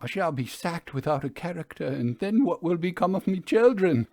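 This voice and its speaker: A man's voice: on the high side, expressive, normal speed, a little mellow, voice pushed up. A man voicing a timid, tearful Victorian engine driver in a storybook reading, plaintive and despairing.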